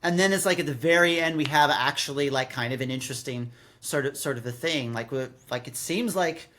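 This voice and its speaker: Halting, rambling man, slightly high voice. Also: bright, forceful tone. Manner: halting, repeats words, rambling. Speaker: podcast co-host. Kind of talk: casual chat, recapping and critiquing a radio drama. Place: recorded in person with co-host.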